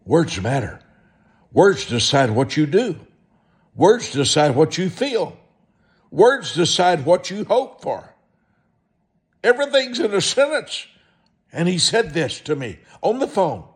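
An American man preaching a motivational sermon and telling stories in a deliberate, emphatic way, repeating short phrases. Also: a little high, very animated.

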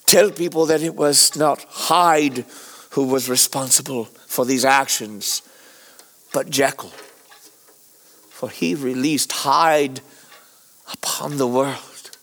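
An actor playing an anguished, guilt-ridden Victorian gentleman scientist in a radio drama, confessing haltingly and theatrically as he nears death. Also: medium pitch. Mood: anguished, pleading.

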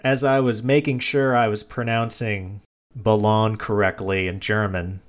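A man's voice: on the low side, steady tone, a little slow. A man hosting a casual film discussion in a jokey, teasing manner. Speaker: podcast host.

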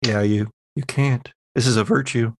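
A man's voice: mid-range voice, steady tone, moderate pace. A man, narrating a tabletop horror roleplaying game as game master.

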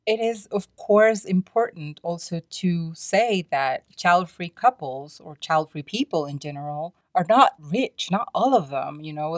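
A woman's voice: low voice, dry recording. A woman hosting a podcast solo, talking in a conversational, explanatory and opinionated way. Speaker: podcast host.

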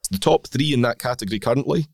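A man with a slightly croaky voice, co-hosting a golf chat and reeling off stats in a measured way. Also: mid-range voice, expressive, no room sound, clean studio sound. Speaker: podcast co-host.

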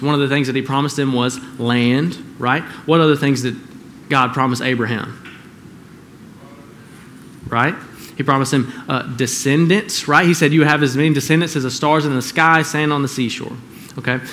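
A man's voice: mid-range voice, fairly bright tone. Conversational male preacher, faint background hiss. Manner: conversational, asks the congregation questions. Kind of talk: sermon. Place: church.

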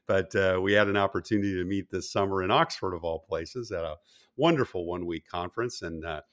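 Upbeat male podcast host, lowish voice. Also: brisk. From California. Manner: upbeat, laughs often, encouraging. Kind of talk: interview podcast. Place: Manhattan.

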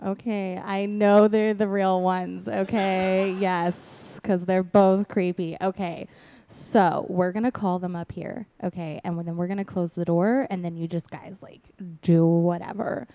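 A woman's voice: on the low side, flat delivery, measured pace, dark tone. A woman playing a bratty, scheming teenage daughter in improvised comedy, gushing in a valley-girl way. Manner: gushing, valley-girl filler-heavy delivery. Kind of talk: improvised comedy parody of radio play.

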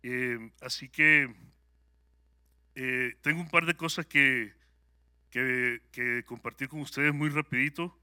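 A man with a Salvadoran Spanish accent speaks to a congregation as a guest speaker. His voice is very bright and sharp, and it sounds close and dry. He talks in an upbeat, conversational way and often pauses on hesitant fillers. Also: mid-range voice, steady tone, no echo. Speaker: guest speaker at a church service. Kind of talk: personal testimony to a congregation.

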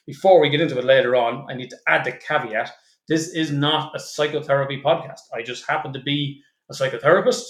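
Man, podcast host, casual teasing banter, mid-range voice, roomy echo. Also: medium pitch. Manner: swearing, laughing, teasing banter.

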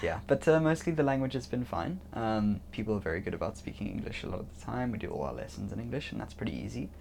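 A man's voice: on the low side, animated, fast, vocal fry, darkish tone. A young man speaking casually as an interview guest, often chuckling as he talks. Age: young adult. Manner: chuckles often while talking. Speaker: interview guest, international student on a sailing ship. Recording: faint background noise and ambience.

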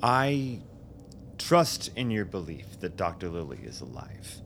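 A man's voice: deep voice, big pitch swings, a little slow, a bit bright, full character voice. An actor in a radio drama playing a self-doubting political leader, a man who sounds hesitant and agitated. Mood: worried, agitated. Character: anxious, self-doubting new political chairman, a war hero. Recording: noticeable background noise.

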